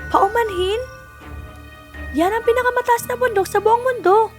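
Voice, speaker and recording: Babaeng gumaganap na napakatinis na batang bidang babae. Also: female voice, very high-pitched, moderate pace, soft background music. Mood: skeptical, curious. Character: spirited young heroine girl, bold and skeptical.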